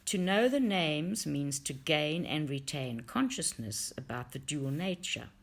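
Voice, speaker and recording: A woman reading a book aloud in an audiobook style, with measured, deliberate phrasing. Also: low-pitched, animated, vocal fry. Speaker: reader for a book study group.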